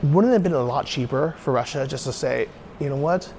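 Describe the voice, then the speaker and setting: A man's voice: mid-range voice, animated, fairly quick, vocal fry, darkish tone. American guy ranting in a vlog, emphatic, asks his own questions then answers them, faint noise behind. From United States. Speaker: YouTuber. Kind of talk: opinionated solo vlog monologue.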